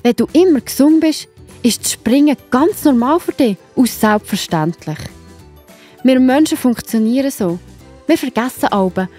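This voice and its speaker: A Swiss-accented woman tells a children's Bible story in a husky, slightly high voice. She explains things simply and directly to young listeners, over soft music.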